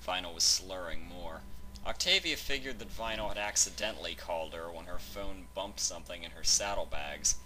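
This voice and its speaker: A man's voice: sits low, normal speed, sharp tone, rough recording. A man reading scripted fan fiction narration as the storyteller.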